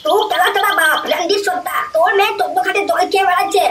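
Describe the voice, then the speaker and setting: A performer voicing an excitable, crude, quarrelsome young village man in a comic cartoon, with a pitched-up effect. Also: very fast, very noisy. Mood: angry, bitter. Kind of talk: comic cartoon dialogue with pitch-shifted voices.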